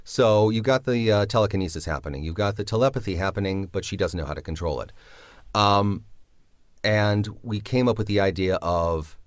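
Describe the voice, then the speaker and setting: Deep-voiced man explaining his writing. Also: normal speed, a little hiss or hum. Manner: thoughtful, explanatory, with fillers. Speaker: series writer and creator. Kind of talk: interview answer.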